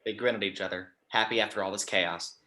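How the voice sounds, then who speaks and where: A woman's voice: very deep voice, fairly even intonation, fast, bright, forceful tone. A woman reading scripted stage directions in an amateur table read.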